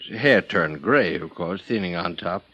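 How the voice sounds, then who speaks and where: In a dull, lo-fi vintage radio drama recording, an old ex-film director turned mission brother. He hesitates, stammers and fumbles for his words. His gravelly voice swings widely up and down. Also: low voice, expressive, moderate pace, bright, forceful tone, exaggerated voice. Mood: hesitant. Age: older. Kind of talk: 1950s radio drama acting.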